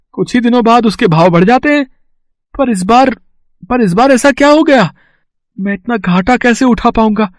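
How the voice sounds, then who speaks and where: Excitable, grasping merchant husband played by a male actor, high voice, melodramatic delivery, dry storybook recording. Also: no echo. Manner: melodramatic, emphatic. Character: excitable greedy merchant husband, prone to despair.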